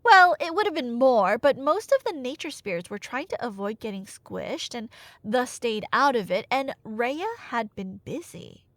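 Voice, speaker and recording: A woman's voice: fairly high pitch, expressive, a little slow, no room sound. A woman telling a story in a casual, rambling ASMR style, playful and slangy with wry asides. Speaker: storyteller playing the listener's nerdy girlfriend.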